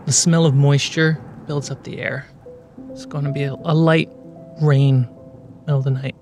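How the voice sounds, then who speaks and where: A man narrating a tabletop fantasy game as game master, in a measured, suspenseful storytelling manner. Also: fairly high pitch, level tone, a little mellow, faint music behind.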